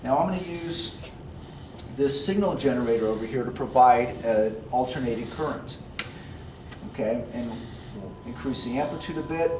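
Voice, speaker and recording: A man in his forties explains a science demonstration step by step, like a physics teacher, in a deliberate way. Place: classroom science lab, indoors. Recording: roomy, distant mic, background rumble.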